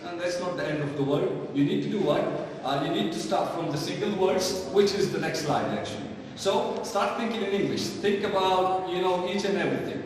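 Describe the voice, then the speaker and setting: Male online English tutor, Pakistani accent, slightly high voice, didactic question-and-answer delivery, recorded in a very reverberant room. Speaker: English teacher. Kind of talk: live online lesson to viewers. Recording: very echoey hall, distant mic, faint noise.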